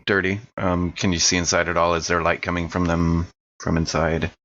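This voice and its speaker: A man's voice: low voice, little pitch movement, normal speed, fairly bright tone, lo-fi sound, slight background noise. A man chatting casually as a player in a tabletop game, informal and thinking aloud.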